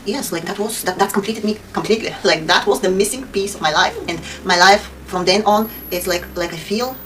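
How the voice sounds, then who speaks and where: A woman's voice: medium pitch, a little fast, a bit bright, distant mic, a little hiss or hum, rough recording. A woman from Bulgaria with a Bulgarian accent, speaking earnestly and devoutly as she answers viewer questions about her faith, often using Arabic religious phrases. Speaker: convert sharing her story.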